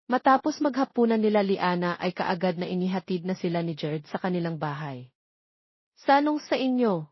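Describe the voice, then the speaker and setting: A woman's voice: fairly low pitch, a little fast, husky, no echo. Woman narrator, dry slightly muffled recording. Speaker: story narrator. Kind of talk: scripted dramatic story narration. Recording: slightly dull, muffled audio.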